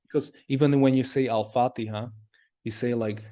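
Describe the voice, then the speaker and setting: A man's voice: medium pitch, fairly even intonation, normal speed, mellow. A man as an interview guest in casual conversation, talking in loose, rambling sentences full of "like". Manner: rambling, heavy use of "like".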